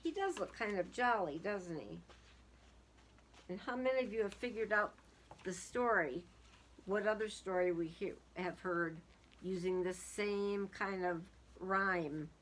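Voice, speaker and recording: A woman's voice: medium pitch, measured pace, a bit bright, a little hiss or hum. A woman reading a picture book aloud to young children, dramatic in the story and casual in asides that put questions to the listeners.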